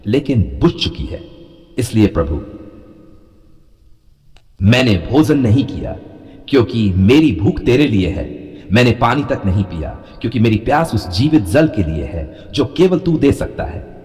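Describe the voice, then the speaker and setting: A man's voice: on the low side, a little mellow, in a large hall. A man leading an earnest, pleading devotional prayer in a rhythmic, repetitive cadence. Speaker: prayer leader.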